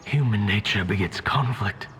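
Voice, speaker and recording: An actor playing a brooding, ominous male voice with a grave, breathy delivery in a video game. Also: lowish voice, soft background music, close to an everyday voice. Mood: ominous, bleak. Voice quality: breathy, hushed. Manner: slow, grave. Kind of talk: video game character acting.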